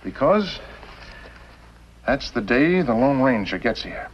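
A middle-aged man playing a scheming, bitter outlaw boss in a 1950s TV western, speaking with sardonic, deliberate intensity. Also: medium pitch, very animated, breathy edge, full character voice. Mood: calculating. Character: scheming, bitter outlaw boss posing as mild telegraph operator. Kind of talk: 1950s television western acting. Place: small wooden cabin set, indoors. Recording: muffled, noisy old 1950s TV soundtrack.

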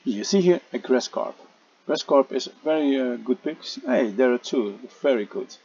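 A man walks through a game tutorial in a casual voice-over, pausing often on "uh" and restarting his sentences. His voice is low-toned and a little husky, and it comes through a dull, lo-fi home microphone. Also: lively intonation, warm tone. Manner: halting, many "uh" fillers and restarts. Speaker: gameplay tutorial narrator.

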